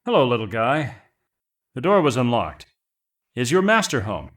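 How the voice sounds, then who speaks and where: A man's voice: medium pitch, very animated, normal speed, very noisy, natural voice. A man playing a plainspoken shipwrecked mercenary in a space adventure audio drama. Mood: polite, uneasy. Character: male shipwrecked mercenary hunter, rugged and plainspoken, courteous.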